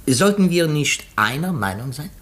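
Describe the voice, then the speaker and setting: An actor playing a shrewd, self-assured foreign detective in a theatrical, didactic manner, in 1950s German radio drama style. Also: male voice, fairly high pitch, big pitch swings, moderate pace, a bit bright, breathy edge, exaggerated voice. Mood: confident, probing. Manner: theatrical, didactic, sprinkles in French exclamations.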